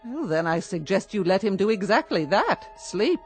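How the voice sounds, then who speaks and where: A woman acting in a radio drama as a professional doctor with a measured, clinical manner. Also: mid-range voice, big pitch swings, faint music behind, close to an everyday voice. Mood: measured, tactful.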